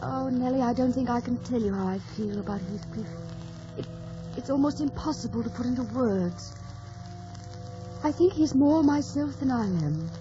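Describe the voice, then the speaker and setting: Woman acting high-voiced, willful young gentlewoman. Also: animated, moderate pace, music in the background, lightly acted. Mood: fervent, torn. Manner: theatrical, ardent. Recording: dull, noisy old radio broadcast.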